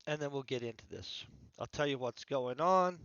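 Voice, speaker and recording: Male author, congested voice, casual rambling stream talk, mid pitch, dull dry recording. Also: normal speed, fairly bright tone, no echo. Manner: rambling, thinking aloud with restarts. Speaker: author streaming his writing session. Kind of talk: casual livestream chat.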